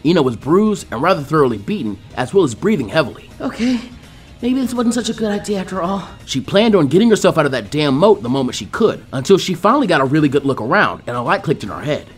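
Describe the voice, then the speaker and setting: A man reading a fan fiction story aloud as an animated narrator. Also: a little high, fast, few pauses, husky, faint music behind.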